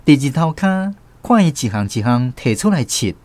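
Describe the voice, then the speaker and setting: A man with a Taiwanese accent hosting and narrating a scripted radio programme in an expressive storytelling manner. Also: medium pitch, moderate pace, dry recording.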